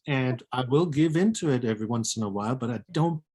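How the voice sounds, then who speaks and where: A man's voice: medium pitch, expressive, fast talker, warm tone. A man chatting casually and candidly as a co-author in a personal conversation, with a self-deprecating manner. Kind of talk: casual unscripted chat.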